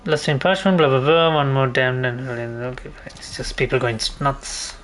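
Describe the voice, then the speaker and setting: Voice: mid-range voice, steady tone, moderate pace, fairly bright tone, slight background noise. A gamer giving casual, informal play-by-play commentary, thinking aloud and swearing mildly now and then. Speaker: gameplay streamer.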